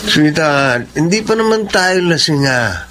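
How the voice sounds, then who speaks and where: A man's voice: a little high, lively intonation, a little slow, pushed and bright, a little hiss or hum. A man with a Filipino accent acting a cocky, stubborn young husband in a radio drama, brash and dismissive. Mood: cajoling.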